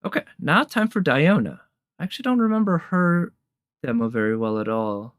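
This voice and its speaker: A man's voice: on the high side, very animated, moderate pace, no room sound, studio quality. A man giving casual live commentary as a streamer, enthusiastic and thinking aloud in fragments. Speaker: streamer reviewing game trailers. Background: game trailer music.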